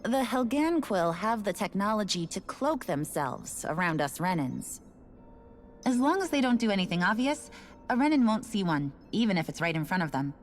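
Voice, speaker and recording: A woman's voice: mid-range voice, big pitch swings, normal speed, slight background noise, natural voice. An actress voicing a casual, resigned woman station worker in a video game. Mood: offhand. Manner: casual, offhand, matter-of-fact. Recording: game audio with faint music.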